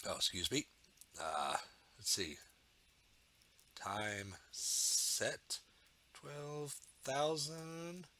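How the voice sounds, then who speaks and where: A man's voice: mid-range voice, big pitch swings, very slow, long pauses, noisy background. A man talking through his building project while working, rambling and thinking aloud with many fillers, getting over a sore throat and a bit of a cold. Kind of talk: casual build commentary.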